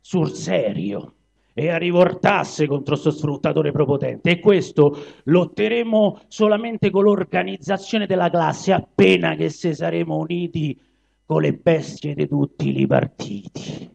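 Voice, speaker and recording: A commanding lion acted by a man delivers a stern rallying speech in verse. The delivery is measured and emphatic, with a Roman accent, on a slightly dull live recording. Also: fairly high pitch, animated, gravelly, a little mellow, exaggerated voice. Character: commanding male lion, rallying political orator.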